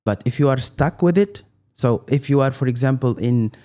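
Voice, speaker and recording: Middle-aged man from Tunisia, mellow mid voice; earnest interview guest who restarts often and presses his points. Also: medium pitch. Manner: emphatic, repeats "believe me", halting restarts. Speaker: guest, content creator.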